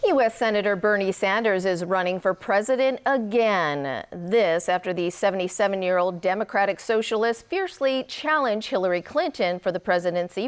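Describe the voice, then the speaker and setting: A woman's voice: medium pitch, clean tone. A woman anchors a news bulletin in a mid-range voice. She reads scripted copy in a presenter's delivery.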